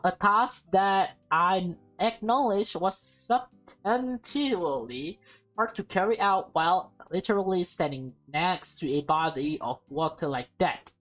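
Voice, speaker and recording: Slow-paced woman reading a game aloud. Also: on the low side, a bit bright. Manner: halting reading, stumbles and self-corrects. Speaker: gameplay streamer reading a visual novel aloud. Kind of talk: casual let's-play read-aloud with commentary.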